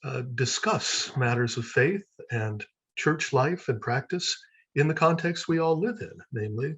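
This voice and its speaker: A man's voice: medium pitch, lively intonation, unhurried, fairly bright tone, husky. An American man, a senior lecturer, introducing himself earnestly at an open day. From United States. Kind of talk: self-introduction at an online event. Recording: dull, lo-fi.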